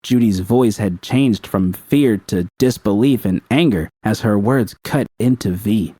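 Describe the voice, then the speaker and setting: A man's voice: lowish voice, unhurried, mellow, dry recording. A man reading scripted fiction as an audiobook narrator.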